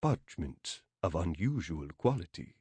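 Man voicing a husky, courteous Egyptian gentleman. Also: deep voice, sing-song, dry recording, pitched below the performer's own voice, exaggerated voice. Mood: polite, insistent. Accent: Egyptian Arabic. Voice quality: rich, lilting, husky. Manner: formal, measured, polite. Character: courteous, cultured Egyptian male book seeker.

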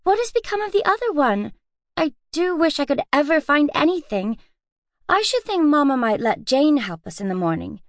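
A bustling, chattering young girl, as a woman narrator puts her on. Her high, raised voice darts up and down with excitement. The recording is close and dry. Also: no echo, exaggerated voice. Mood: excited, hurried. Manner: excitable, hurried. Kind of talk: audiobook character reading.

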